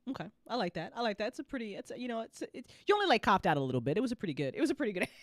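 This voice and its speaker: A woman's voice: medium pitch, big pitch swings, very fast, no echo, studio quality. A woman hosting a casual after-show discussion, talking in a teasing, informal and sometimes profane way. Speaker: host and story creator.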